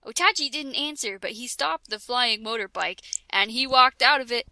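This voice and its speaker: A young woman reads a ridiculous fan story aloud for laughs, tripping over words and breaking into giggles. Her voice sits high and sounds very bright and sharp. The sound is close and dry, with no room around it. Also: moderate pace, no echo. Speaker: reader of a parody fan fiction. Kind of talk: giggly comedic dramatic reading.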